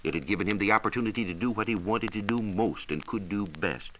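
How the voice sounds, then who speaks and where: An American man presenting a scripted 1940s educational radio science talk with measured, emphatic storytelling. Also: lowish voice, a bit bright, poor audio. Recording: hissy 1940s radio transcription recording.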